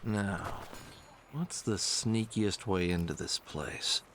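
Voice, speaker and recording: A man's voice: on the low side, slow, noisy background. A man playing a wry fox adventurer in an audio drama, talking to himself with dry understatement. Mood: wary, wry. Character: wry male fox adventurer, sneaky, self-narrating, improvising. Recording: loud music under the voice.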